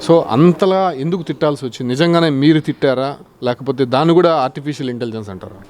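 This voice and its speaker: A male news interviewer with a medium-pitched voice. He puts probing questions in long, formal sentences, sometimes hesitating as he builds them. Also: quick pace, darkish tone.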